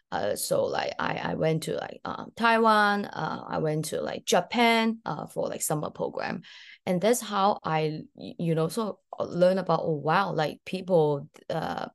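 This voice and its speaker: Young woman, Hong Kong accent, low voice, hesitant and full of fillers while she tells her story. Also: darkish tone. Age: young adult. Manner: hesitant, many fillers and restarts. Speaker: podcast guest, graduate student. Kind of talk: casual interview storytelling.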